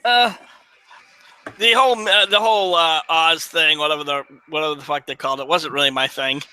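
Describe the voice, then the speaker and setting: A man's voice: a little high, fairly even intonation, brisk, bright, forceful tone, clean tone. A man chatting casually and eagerly about what he is watching, with frequent fillers. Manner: eager, enthusiastic, hesitant fillers. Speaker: live chat co-host. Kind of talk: casual group chat.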